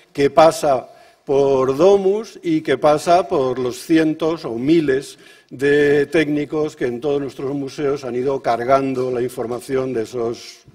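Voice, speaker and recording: A man with a Castilian Spanish accent giving a welcome speech at a conference in a measured, somewhat halting way, as a museum official. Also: medium pitch, a little mellow. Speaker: museum official introducing an event.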